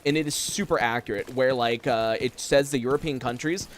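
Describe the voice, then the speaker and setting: A man's voice: medium pitch, brisk, a little hiss or hum. Rambling young man chatting while gaming. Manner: rambling, filler-heavy, chatty. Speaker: streamer. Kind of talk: casual live gameplay chat. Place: room at home.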